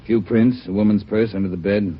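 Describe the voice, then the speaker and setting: Man as a quick-talking police chief barking orders, lowish voice, muffled old radio. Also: fairly even intonation, slight background noise, lightly acted. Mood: commanding. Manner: brisk, giving orders. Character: brisk commanding male police chief. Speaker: police captain in charge. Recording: muffled vintage radio broadcast.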